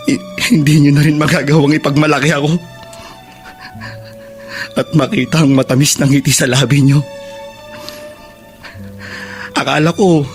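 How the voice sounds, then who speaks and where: An actor playing a bitter, grieving younger brother in a Filipino radio drama, with halting, emotional delivery. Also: male voice, medium pitch, close to an everyday voice.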